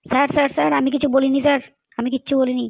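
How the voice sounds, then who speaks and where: A woman's voice: sits high, level tone, rapid-fire, creaky, no room sound. A woman voicing a grumpy, evasive, lazy schoolboy in a comedy cartoon, with a whiny, grumbling manner. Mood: pleading.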